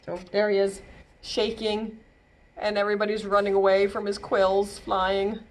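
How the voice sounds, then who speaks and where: A woman's voice: medium pitch, monotone, normal speed, rough recording. A woman reading a picture book aloud to young children as a storytime librarian, expressively, with simple asides explaining words and pictures.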